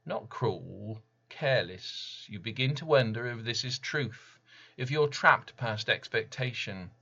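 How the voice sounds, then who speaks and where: A man giving a dramatic reading of his own poem in solemn, deliberate short phrases, with slightly muffled sound. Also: mid-range voice, vocal fry, sharp tone, breathy edge. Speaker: poet reading own work. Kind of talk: dramatic poetry recital.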